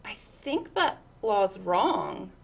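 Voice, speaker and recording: A woman voicing an earnest, timid second-grade girl in a picture book, hesitant and soft-spoken. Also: medium pitch, very animated, extremely slow, creaky, husky, exaggerated voice.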